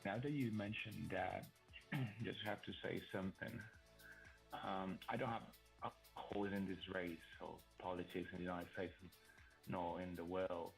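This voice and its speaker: A man's voice: low voice, steady tone, unhurried, creaky, rough recording. A man from El Salvador, a native Spanish speaker with a British-influenced English accent, chatting casually on a live stream. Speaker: participant joining live stream. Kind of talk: casual chat. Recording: music under the voice. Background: music.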